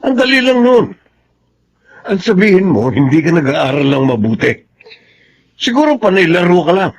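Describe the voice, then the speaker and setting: A man's voice: high-pitched, big pitch swings, normal speed, exaggerated voice. An actor playing a stern, demanding father with a scolding, commanding manner in a radio drama.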